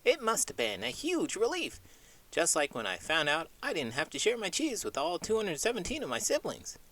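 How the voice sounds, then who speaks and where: Man doing a squeaky cheeky mouse. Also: moderate pace, creaky, heavily acted. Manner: high squeaky excited voice. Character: cheese-loving mouse, small, cheeky and jokey. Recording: music playing behind.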